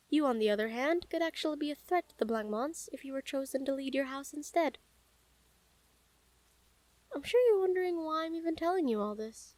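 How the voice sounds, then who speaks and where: A woman playing a calm, menacing yet smitten assassin in an intimate audio roleplay, speaking softly and teasingly with deliberate pauses. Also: high voice, dry recording. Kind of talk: intimate scripted audio roleplay addressed to the listener.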